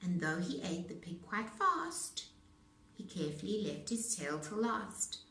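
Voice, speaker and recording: A woman storyteller reading children's rhymes aloud in a theatrical, rhythmic, deliberate manner. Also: medium pitch, big pitch swings, bright, forceful tone. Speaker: storyteller, speech-language pathologist. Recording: roomy echo, distant mic.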